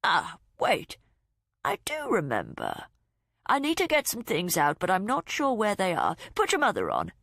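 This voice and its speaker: A woman's voice: medium pitch, wildly swooping pitch, normal speed, vocal fry, a bit bright, no echo, clean studio sound, pitched above the performer's own voice, exaggerated voice. A woman doing a confused, touchy old father. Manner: forgetful, defensive, snapping.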